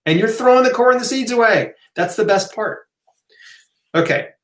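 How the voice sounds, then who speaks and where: A man with a fairly high voice hosts a live question-and-answer session in a very roomy space. He talks casually and off the cuff, punctuating his answers with emphatic asides and checking in with the listener. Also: expressive, moderate pace, fairly bright tone. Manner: conversational, emphatic asides, rhetorical okays. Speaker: host of a live health Q&A. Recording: roomy, echoing room sound.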